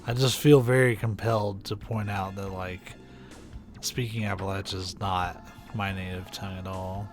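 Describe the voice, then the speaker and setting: A man's voice: deep voice, steady tone, normal speed, soft background music. A man from Kentucky chatting casually while playing a game on stream, rambling with lots of fillers and restarts. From Kentucky, USA.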